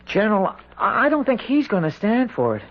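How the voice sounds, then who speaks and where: Earnest, high-voiced young country lad. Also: male voice, wildly swooping pitch, fairly quick, heavily acted. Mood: pleading. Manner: stammering, eager, folksy. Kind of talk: old-time radio drama acting. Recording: noisy, muffled vintage radio recording.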